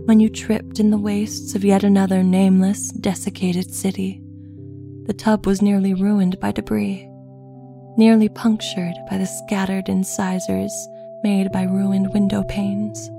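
A woman reading a literary first-person tale in a measured, lyrical, deliberate manner. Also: mid-range voice, vocal fry. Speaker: story narrator. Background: music.

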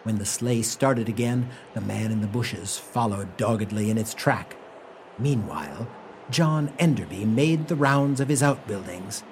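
A man's voice: on the low side, lively intonation, measured pace. A man narrating a radio play as a scripted storyteller. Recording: noticeable background noise.

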